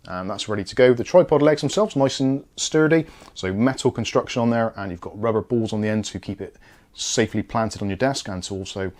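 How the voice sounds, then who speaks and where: A man's voice: mid-range voice, darkish tone. A British man giving a casual product review and unboxing, explaining things in a chatty, easygoing way.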